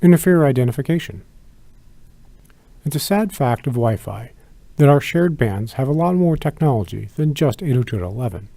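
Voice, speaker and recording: A man narrating a scripted product tutorial in a measured, methodical, instructional way. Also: medium pitch, animated, mellow, no echo. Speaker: product demonstrator.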